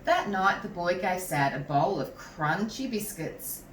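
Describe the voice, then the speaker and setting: A woman reads a children's story aloud like a teacher, slowly and with lots of expressive swing. She speaks to young listeners in a measured, animated manner. The recording is roomy, picked up at a distance from the microphone. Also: fairly low pitch. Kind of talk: expressive picture-book read-aloud with asides to young listeners. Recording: roomy echo, distant mic, some noise.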